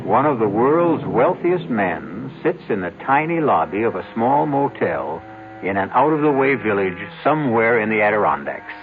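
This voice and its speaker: A man's voice: on the low side, big pitch swings, normal speed. An American man narrating a mystery radio drama as host, in a portentous, theatrical manner. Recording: old tinny radio with music behind.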